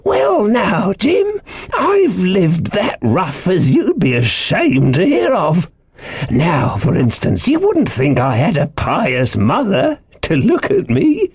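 Crazy marooned old sailor for an audiobook, high husky voice, slow n sly, rambling pirate-y dialect. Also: male voice, huge pitch swings, a little mellow, no room sound, heavily acted. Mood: confiding, rambling. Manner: rustic seafaring dialect, wheedling and rambling. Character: marooned, half-crazed old sailor, childishly sly and pious. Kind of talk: audiobook character voice.

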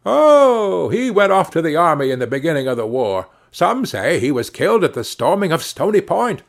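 A man's voice: on the high side, very animated, normal speed, creaky. A man voicing a very old village man in a storybook, thin and piping, wistful and rambling. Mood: matter-of-fact, wistful.

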